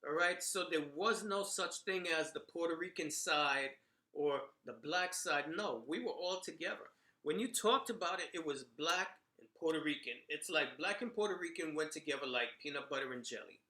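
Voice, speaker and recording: Bright-voiced middle-aged New man. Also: on the high side, moderate pace, echoey room. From New York, Bronx. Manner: conversational, frequent "you know" and "right?". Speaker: commentator sharing memories. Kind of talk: casual monologue.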